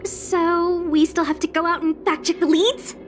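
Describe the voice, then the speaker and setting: A woman's voice: extremely high pitch, flat delivery, moderate pace, faint music behind. A woman voicing a sassy, skeptical young girl in an anime game, frank and teasing in manner. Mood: skeptical, curious. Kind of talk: anime game dialogue acting.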